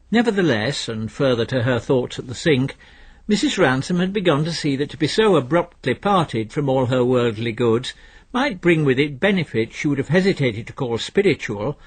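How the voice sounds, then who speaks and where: A man reading literary fiction as a narrator, measured and wry. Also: a little high, breathy edge. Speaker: audiobook narrator.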